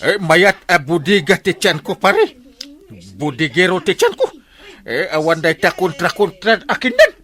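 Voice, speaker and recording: A man's voice: sits high, very animated, normal speed, fairly bright tone. A man playing a jolly, gluttonous, tipsy village friend in an Ilocano radio comedy, with an Ilocano accent and exaggerated, drawling interjections. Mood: boastful, amused.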